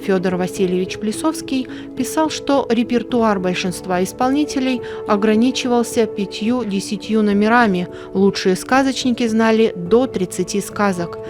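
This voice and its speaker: Female narrator, scripted documentary read, medium pitch, clear background music. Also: normal speed, few pauses.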